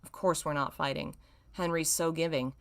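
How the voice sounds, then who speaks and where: A woman's voice: low voice, steady tone, moderate pace, creaky. A woman voicing a shy, troubled man who mutters in a withdrawn way, in a story read-aloud. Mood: subdued, guilty.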